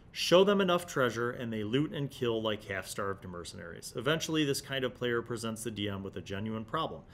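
A man's voice: mid-range voice, fairly bright tone, slight background noise. Man in his forties, explaining. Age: 40s. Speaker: host of a gaming review series. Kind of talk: magazine read-aloud with conversational commentary. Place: indoor studio set. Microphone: desktop microphone.

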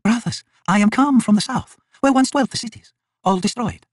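A man voicing a sorrowful, solemn elder goddess with a breathy delivery in a fantasy audiobook. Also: very high-pitched, moderate pace, dry recording, clean studio sound, voice pushed up. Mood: sombre.

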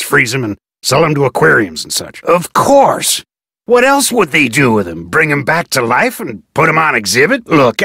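An actor playing a gruff, blunt fisherman in a video game, folksy and dismissive. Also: medium pitch, pushed and bright, dry recording, clean studio sound, exaggerated voice. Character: gruff fisherman and harbor master hopeful, blunt.

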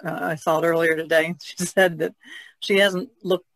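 A woman's voice: lowish voice, little pitch movement, normal speed, vocal fry, fairly bright tone, dry recording. A woman chatting casually and informally as a co-host on a podcast. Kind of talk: casual chat.

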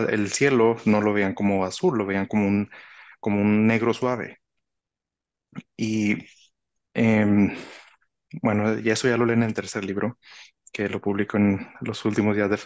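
Low-voiced Mexican man, reflective. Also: a little mellow, lo-fi sound. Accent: Mexican Spanish. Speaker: author, interview guest. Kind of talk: casual conversational explaining with anecdotes. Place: indoor room, video call. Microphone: headset.

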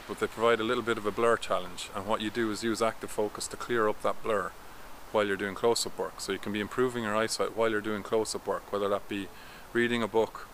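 A man in his thirties talks to the camera in a garden and explains things in a steady, instructive way. His voice sits a little low but sounds bright and forward. Faint outdoor ambience and distant traffic come through in the background. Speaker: vision coach. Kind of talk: talking-head explainer vlog.

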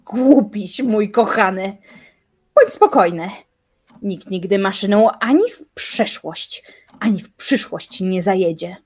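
An actress playing a determined, defiant young woman in an audio drama, arguing in measured, formal language. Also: medium pitch, animated, a little mellow, breathy edge. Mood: defiant, argumentative. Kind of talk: audio drama acting, literary dialogue.

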